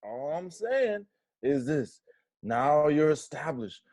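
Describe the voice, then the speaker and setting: A man's voice: fairly high pitch, sing-song, slow pace, a little mellow, no echo, clean studio sound. A man playing a drunk young friend earnestly giving advice in a script read-through. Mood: earnest, pushy.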